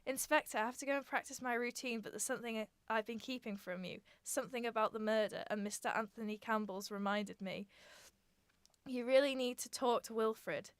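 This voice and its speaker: Woman acting a brash, highish-voiced circus girl. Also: fairly even intonation, brisk, smooth, no echo, lightly acted. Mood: confiding.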